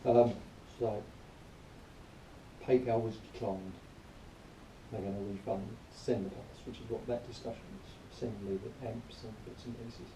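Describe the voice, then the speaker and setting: A man giving an informal spoken review in a rambling, matter-of-fact, grumbling way, partly reading aloud, recorded quietly on a camera some distance away. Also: fairly low pitch, fairly even intonation, measured pace. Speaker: product reviewer. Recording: distant quiet mic, roomy echo, faint noise.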